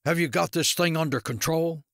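Male narrator as haughty male prosecutor, demanding, curt, impatient, raised pitch, audiobook villainy. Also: moderate pace, fairly bright tone, no echo, studio quality. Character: imperious, impatient male district attorney, blame-shifting rich man's son. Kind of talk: audiobook character dialogue.

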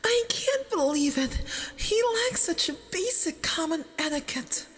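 A woman's voice: very high-pitched, big pitch swings, a little slow, fairly bright tone, exaggerated voice. A woman playing a nervous, jealous, obsessive young femboy boyfriend in an ASMR roleplay, with a flustered, stammering, possessive manner. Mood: exasperated. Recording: long reverb, doubled voice track.

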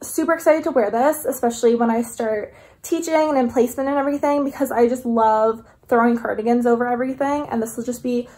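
A woman's voice: on the high side, fairly even intonation, fast talker, a little mellow. A woman, chatting casually and enthusiastically to camera like a vlogger. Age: 20s. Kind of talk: casual haul chat to camera. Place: small living room indoors.

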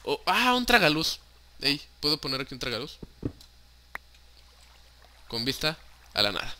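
Bright-voiced young Mexican man gaming. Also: fairly high pitch, sing-song, measured pace, a little hiss or hum. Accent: Mexican Spanish. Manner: chatty, joking, swears casually, mixes in English words. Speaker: gaming YouTuber. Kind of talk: casual Minecraft let's play commentary.

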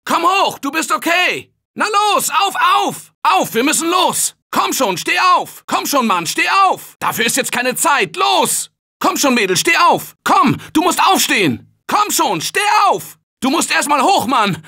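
An upbeat office worker turned zombie survivor for a video game. His bright voice swings widely in pitch as he urges the team on, unhurried between the calls. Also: sing-song, full character voice. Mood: urgent. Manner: urgent encouraging team calls, shouting under attack. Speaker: game character voice. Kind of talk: video game voice lines, dubbed.

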